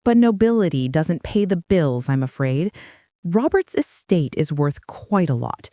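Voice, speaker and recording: A woman's voice: on the low side, big pitch swings, measured pace, dark tone, dry recording, studio quality. A woman voicing a smug blackmailing older man. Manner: smooth, mocking and smug.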